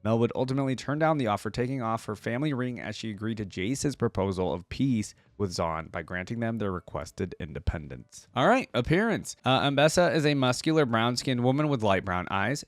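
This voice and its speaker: A man's voice: fairly low pitch, a little fast, hardly any pauses, no echo. A man reading text aloud as a reaction video host, dropping in casual asides between passages.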